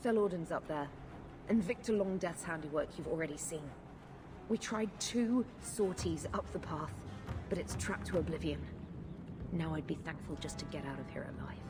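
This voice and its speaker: An actress as a blunt, low-pitched guard lieutenant. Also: female voice, moderate pace, over music, lightly acted. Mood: harried. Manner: blunt, soldierly. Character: embattled female guard lieutenant, gruff and dutiful. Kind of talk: video game cutscene acting.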